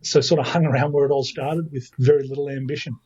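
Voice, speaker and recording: A man's voice: mid-range voice, fairly even intonation, fast talker, warm tone, slightly rough, muffled recording. Chatty self-mocking Australian man. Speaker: podcast guest. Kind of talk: casual chat.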